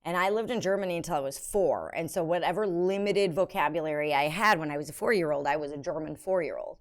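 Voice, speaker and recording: An American woman chats casually on a live stream, answering her chat in a rambling, self-deprecating way. Her voice is smooth and a little low for a woman, and she fills pauses with ums and quick laughs. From United States.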